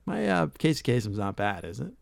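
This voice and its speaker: A man's voice: mid-range voice, big pitch swings, a little fast, vocal fry, no echo, studio quality. A middle-aged man hosting a casual podcast chat, reading facts aloud and swearing casually. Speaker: podcast host.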